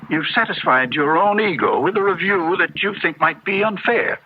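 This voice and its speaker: A probing psychiatrist in an old radio play. He questions in a measured, insistent way, in a fairly high voice with a very varied melody. The sound is dull and a little noisy. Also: expressive. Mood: insistent, challenging. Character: probing, coolly rational male psychiatrist. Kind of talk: 1970s radio drama acting.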